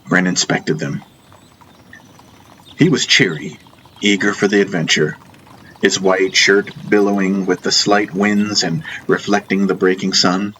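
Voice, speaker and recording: A man's voice: sits low, steady tone, a little slow, a bit bright, a little hiss or hum, rough recording. A man reading a first-person fantasy story as scripted audiobook narration. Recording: music bed behind narration. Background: background music.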